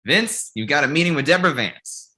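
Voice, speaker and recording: Blunt, exasperated male talent manager, played by an actor, medium pitch with very animated delivery, comedy script. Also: a little fast. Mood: pressing. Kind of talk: comedy table read.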